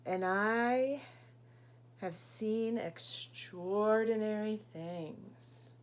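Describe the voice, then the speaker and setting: A woman's voice: medium pitch, extremely slow, slight background noise. A woman voicing a worldly male frog from a picture book, telling of wonders in a dramatic, mysterious manner. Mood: proud, wondering.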